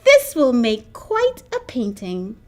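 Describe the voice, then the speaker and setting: A woman voicing a grumpy, lonely old man who shouts his complaints in a storybook. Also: fairly high pitch, wildly swooping pitch, slow, heavily acted. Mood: grudging. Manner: shouting, exasperated.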